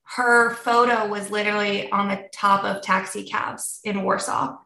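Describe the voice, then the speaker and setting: A woman's voice: medium pitch, steady tone, a little slow, reverberant, recorded from a distance, poor audio. A woman in her thirties, a podcast guest raised in the United States, telling her own story openly and reflectively, with frequent ums. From born in Germany, raised in the United States. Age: 30s. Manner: open and reflective, with many ums and soft laughs. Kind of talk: candid personal storytelling.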